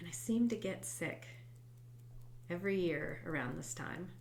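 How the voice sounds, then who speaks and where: A woman with a low, congested voice talks gently through a self-help tapping routine, thinking out loud and sometimes sinking into quiet, whispered repetitions. Her nose sounds stuffed up from a head cold. A faint hum sits under the recording. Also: animated, a little slow. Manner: soft, reflective, drifts into quiet whispered tapping phrases. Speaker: host and EFT tapping coach. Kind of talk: guided tapping session, spoken aloud.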